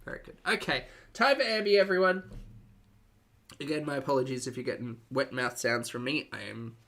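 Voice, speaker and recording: Guy streaming live reactions, bright crisp voice, chatting to chat, kinda sarcastic. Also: medium pitch, a little fast. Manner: dry, teasing sarcasm. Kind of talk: casual live commentary to a chat.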